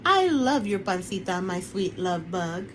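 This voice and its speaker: A woman voicing a loving, playful mother speaking to her small son in a storybook, in an affectionate, expressive way. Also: mid-range voice, a little slow. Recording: clearly noisy online webinar recording.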